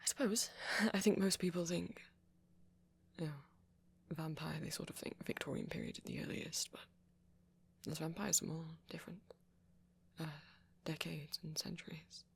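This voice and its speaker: A shy young vampire played by a man speaks in a posh British accent for an intimate ASMR roleplay. The voice is light for a male, breathy and crackling with creak. He is hesitant and apologetic, stumbling gently over his words. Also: a little high, moderate pace. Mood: bashful, awkward. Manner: hesitant, stammering, soft-spoken, apologetic.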